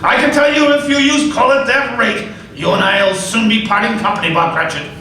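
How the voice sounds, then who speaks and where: A man playing a miserly, bitter old moneylender in a live radio play, gruff and scornful. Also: sits high, fairly quick, bright, forceful tone, very echoey, recorded from a distance, low-quality recording.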